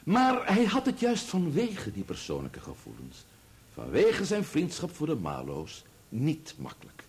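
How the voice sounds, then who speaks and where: A man's voice: a little high, huge pitch swings, unhurried, darkish tone, exaggerated voice. An actor in a Dutch radio play playing a solemn defence barrister, formal and emphatic as he addresses a jury. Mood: solemn, persuasive.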